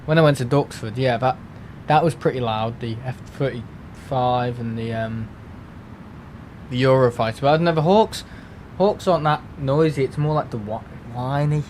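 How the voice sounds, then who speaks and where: A rambling man with a Merseyside accent chatting casually to his live viewers at an everyday mid pitch, full of fillers and restarts. A faint low rumble hums underneath his voice. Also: normal speed, darkish tone. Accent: Merseyside English. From England. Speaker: livestream host.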